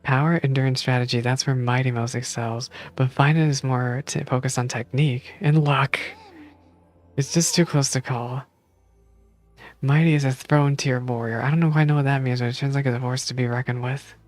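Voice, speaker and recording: A man's voice: mid-range voice, fairly even intonation, normal speed, faint music behind. A man streaming a game, reading story text aloud and reacting casually as he goes.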